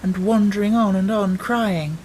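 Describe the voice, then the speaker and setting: A man's voice: high voice, measured pace, a little mellow, a little hiss or hum, pitched above the performer's own voice, low-quality recording. A man voicing a contrary, determined young girl with a blunt, insistent manner for a storybook. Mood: hushed, intent. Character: contrary, stubborn ten-year-old girl, angry and determined.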